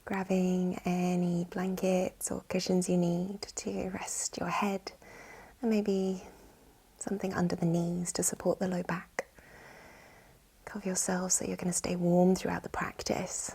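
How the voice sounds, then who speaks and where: A woman with a soft, breathy, whispery voice, soothingly guiding a yoga nidra relaxation with gentle instructions. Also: fairly low pitch, monotone, measured pace, poor audio. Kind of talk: guided relaxation meditation.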